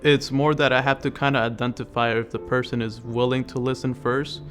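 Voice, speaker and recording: A man from El Salvador, a student in an interview testimonial, speaking earnestly and in a measured way. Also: medium pitch, flat delivery. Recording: soft music underneath. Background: background music.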